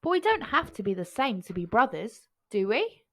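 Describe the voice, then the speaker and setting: Woman voicing a high, eager little boy. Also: sing-song, moderate pace, a bit bright, exaggerated voice. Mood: playful, bold. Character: small plucky Inuit boy, eager and affectionate. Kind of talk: children's storybook character voice.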